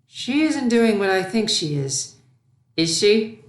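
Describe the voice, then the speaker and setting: Concerned regal pony princess, voiced by a woman, raised pitch, storybook mentor. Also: sing-song, slow. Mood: worried. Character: caring adult pony princess, mentor to a filly.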